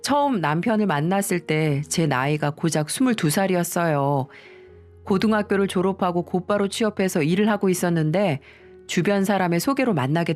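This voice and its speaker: A woman's voice: lowish voice, a little mellow. A woman reading a scripted first-person confessional story as its narrator.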